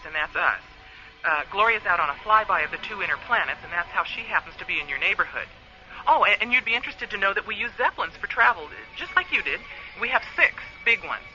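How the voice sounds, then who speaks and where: A woman's voice: medium pitch, expressive, brisk, pushed and bright. A woman playing a friendly, candid space communications officer in a radio play, chatty and a little apologetic over a radio link. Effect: radio transmission filter. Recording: dull band-limited radio link, music behind.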